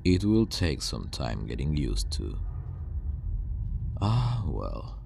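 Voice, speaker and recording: A man playing a calm, obsessive scientist villain with superpowers, soothing yet menacing, speaking in a measured, deliberate ASMR roleplay monologue. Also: very deep voice, vocal fry, a little hiss or hum, full character voice. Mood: pensive, subdued. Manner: measured, deliberate, pausing, reassuring. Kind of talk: ASMR roleplay, intimate scripted monologue to the listener.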